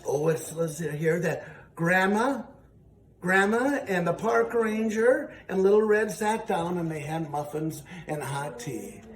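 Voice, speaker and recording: A man telling a children's story in an animated way, haltingly, repeating phrases for emphasis. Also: high-pitched. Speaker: storyteller and show host. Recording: roomy, distant, faintly noisy.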